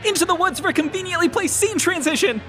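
A man voicing an eager, goofy, nerdy adventurer in an animated gaming comedy, chatty and theatrical. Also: very high voice, fast, music in the background.

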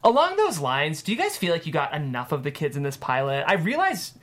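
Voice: mid-range voice, huge pitch swings, a little fast, fairly bright tone. A screenwriter guest chatting casually on a panel, hedging and peppering sentences with "like".

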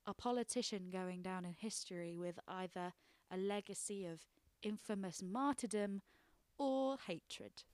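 A woman's voice: medium pitch, darkish tone, clean tone, dry recording. Woman reading her essay, dry close sound. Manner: measured, explanatory reading. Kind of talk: scripted narration.

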